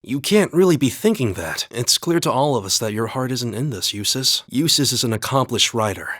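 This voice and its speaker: A calm young warrior hero from a video game, earnest n kinda formal, mid pitch, lively intonation. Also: male voice, no echo, clean studio sound. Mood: earnest, composed. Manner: earnest, measured, slightly formal phrasing. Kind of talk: English video game voice acting.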